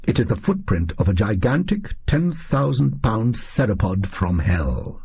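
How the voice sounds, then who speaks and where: A man voicing a brisk, eccentric, self-assured Victorian detective with an English accent and a pedantic, emphatic manner in an audio story. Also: lowish voice, very animated, darkish tone, slightly rough, muffled recording, full character voice. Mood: impatient, dramatic.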